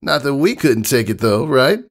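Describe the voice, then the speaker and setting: A man's voice: medium pitch, big pitch swings, moderate pace, voice pushed up. A man voicing a brash, confident young female pegasus pony with a cocky, friendly, casual way of talking in a story reading. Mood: playful bravado. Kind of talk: character voice in fan fiction reading.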